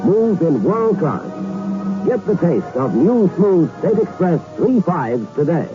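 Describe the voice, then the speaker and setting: A man reading a cigarette ad in a smooth, persuasive 1960s radio style, on an old muffled recording with music. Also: high voice, big pitch swings, slow pace. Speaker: ad announcer.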